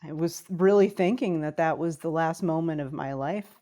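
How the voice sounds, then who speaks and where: A woman's voice: sits low, normal speed, warm tone. A woman guest on a talk show, telling a personal story in an interview.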